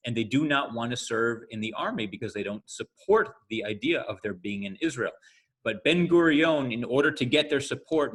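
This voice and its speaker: A man in his forties from the United States giving a candid talk to an audience, speaking conversationally with frequent pauses. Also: medium pitch, fairly bright tone. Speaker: guest speaker.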